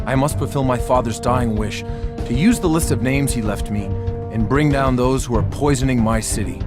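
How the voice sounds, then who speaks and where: Guy doing a serious young hero voiceover for a cartoon game, deadpan but silly, medium pitch, music underneath. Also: close to an everyday voice. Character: earnest young male vigilante, deadpan and silly. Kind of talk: mock-dramatic narration in game cutscenes. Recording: music behind the voice.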